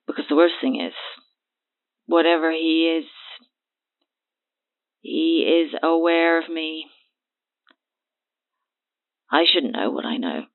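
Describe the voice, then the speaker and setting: A woman in her twenties playing a haunted, terrified witness in an audio horror drama, speaking haltingly and hushed through a telephone filter. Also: deep voice. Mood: despairing. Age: 20s. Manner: halting, hushed, breathy delivery. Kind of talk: audio horror drama acting, phone confession. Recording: thin phone-line sound.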